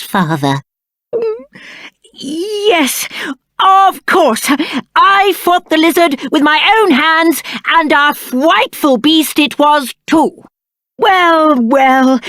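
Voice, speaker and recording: High-voiced, pompous spoiled British prince. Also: male voice, pushed and bright, no echo, clean studio sound, full character voice. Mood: nervously boastful, then fawning, delighted. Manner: pompous, petulant, theatrical. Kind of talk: video game character acting.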